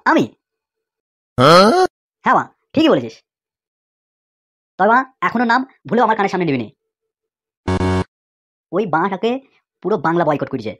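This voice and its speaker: Husky, angry cartoon loudmouth Futo, acted by a woman. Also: medium pitch, dull audio. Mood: indignant. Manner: ranting, punctuates speech with slangy tags. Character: angry, swaggering cartoon man Futo, ranting loudly. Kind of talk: comic cartoon dub.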